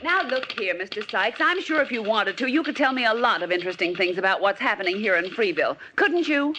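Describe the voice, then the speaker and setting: A woman's voice: mid-range voice, expressive, fairly quick, sharp tone. An actress playing a persistent, impatient female reporter, pressing with insistent questions in 1940s radio serial style. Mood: coaxing, impatient. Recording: faintly noisy vintage radio recording.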